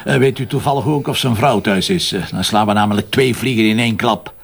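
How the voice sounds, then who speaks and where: A gruff Flemish police commissioner in a radio drama. His voice is heavily creaky and his delivery blunt, sarcastic and impatient, over faint noise. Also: fairly low pitch, fairly quick. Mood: brisk, pressing.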